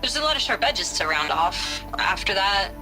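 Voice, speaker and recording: An actress playing a dry, weary tech worker of about thirty in a video game, casual and wry. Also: female voice, medium pitch, very animated, moderate pace, poor audio. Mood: incredulous, glum. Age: 30s. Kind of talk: video game dramatic acting. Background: music.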